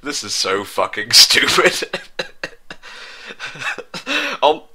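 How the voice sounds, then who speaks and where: A man's voice: medium pitch, animated, a little slow, sharp tone. A man reading a story as mock-dramatic narration, bombastic and openly amused, breaking off for casual, sweary asides. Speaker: reader of a comedic dramatic reading.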